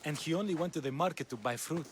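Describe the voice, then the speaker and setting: An actor playing a wry, proud trainer of champion fighters in a game, with a dry, storytelling manner. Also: male voice, on the high side, fast, lightly acted. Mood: wry, informative. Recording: clearly audible ambient background noise.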